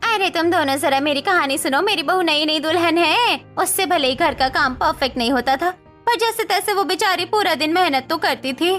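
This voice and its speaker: A woman's voice: very high voice, normal speed, fairly bright tone, soft background music. A woman playing a burdened, regretful middle-aged mother-in-law in a cartoon melodrama, complaining plaintively. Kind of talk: Hindi cartoon family melodrama acting.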